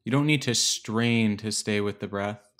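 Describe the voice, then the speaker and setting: Male meditation guide, fairly low voice, gentle instructional delivery with spacious pauses.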